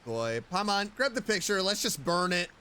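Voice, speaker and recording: A woman's voice: on the low side, sing-song, normal speed, pushed and bright, slight background noise. A woman streaming a video game, giving casual, chatty and playful reactions as she plays. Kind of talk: casual reactive gameplay commentary.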